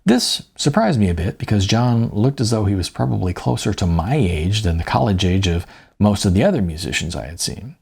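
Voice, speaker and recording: A middle-aged man reads a first-person story for an audiobook. His voice is on the low side and husky, with plenty of fry at the edges. The delivery is wry and storytelling in manner. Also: very animated, fairly quick, a little mellow. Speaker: author reading own audiobook.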